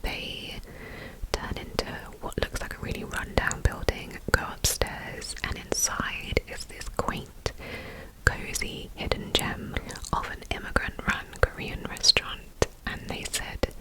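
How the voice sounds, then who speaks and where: A woman's voice: slow, poor audio. A woman whispering a true crime story for ASMR, slightly nasal and a little congested from a recent illness, chatty with small asides. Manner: soft unvoiced whisper, chatty with "you know" asides. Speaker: ASMR channel host.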